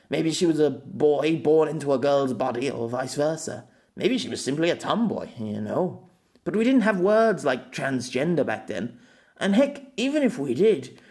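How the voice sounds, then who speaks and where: A man's voice: mid-range voice, darkish tone, lightly acted. A man voicing an earnest, reserved man who speaks hesitantly and reflectively in an audiobook. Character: earnest, wistful, reserved man confiding his feelings. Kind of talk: amateur audiobook character dialogue.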